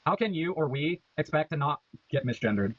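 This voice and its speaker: A man's voice: fairly high pitch, animated, fairly quick, no echo, highs cut off. A man asking an interviewer's pointed, direct question.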